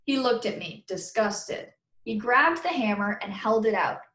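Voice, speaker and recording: An American woman in her thirties reading a children's book aloud as a teacher, pausing to explain and ask listeners questions in simple words. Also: mid-range voice, lively intonation, fairly bright tone. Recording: roomy, echoing webcam recording.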